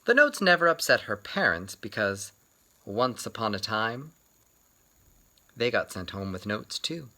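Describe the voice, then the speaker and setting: A man reads a picture book aloud as an expressive storyteller. His pitch moves around a lot and he takes his time, leaving dramatic pauses. The tone is bright and clear-cut. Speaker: children's book reader.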